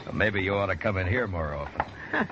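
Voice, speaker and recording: A man acting a weary, dry-humored, measured US marshal in an old radio western. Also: low-pitched, expressive, heavy background noise. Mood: easygoing. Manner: laconic, measured. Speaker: lead actor and narrator. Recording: dull old radio broadcast with music.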